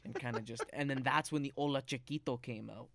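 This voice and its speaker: A man's voice: mid-range voice, steady tone, fast talker, creaky, fairly bright tone, a little hiss or hum. A man co-hosting a podcast, telling stories in a rambling way full of fillers. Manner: rambling, filler-heavy storytelling. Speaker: podcast co-host. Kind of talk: casual chat. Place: basement.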